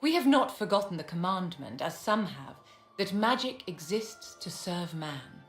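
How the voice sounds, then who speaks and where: An actress playing a poised, aristocratic mage in a video game, speaking in a measured, courtly and haughty manner. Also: female voice, fairly low pitch, big pitch swings. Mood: poised, assured. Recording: reverberant hall with music underneath.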